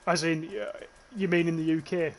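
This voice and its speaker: A man's voice: on the high side, flat delivery, moderate pace, slight background noise. Man, northern English accent, young adult, casual gaming commentary, swears offhand, game sounds behind. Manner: chatty, swears casually, reads out chat comments. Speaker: streamer.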